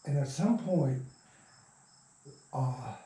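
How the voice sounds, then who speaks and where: An older man telling stories in a casual interview, halting and meandering, often trailing off mid-thought. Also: medium pitch, lively intonation, dark tone, a little hiss or hum. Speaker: interviewed radio play writer and producer. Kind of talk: rambling casual interview storytelling. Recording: roomy, distant from the mic.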